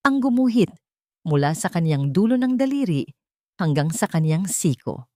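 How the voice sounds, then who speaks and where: A woman's voice: fairly low pitch, a little mellow, no echo, clean studio sound. A woman narrating a scripted audio story in a dramatic storytelling style.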